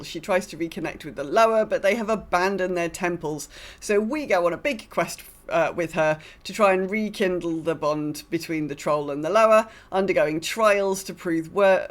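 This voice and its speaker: A woman co-hosting a podcast, reading a game lore recap in a casual way with dry, sardonic asides. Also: on the low side. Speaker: podcast co-host.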